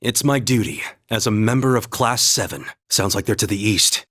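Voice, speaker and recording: A man voicing an earnest, calm young male warrior in a video game, speaking in a measured, sincere and formal way. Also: on the low side. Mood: sincere, reassuring. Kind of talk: video game voice acting, battle calls and dialogue.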